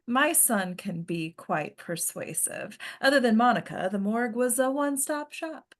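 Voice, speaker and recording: A woman playing a sinister ancient madame who leads a cult ritual in a horror script read, with drawn-out, theatrical menace. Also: on the high side, lively intonation. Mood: silky menace.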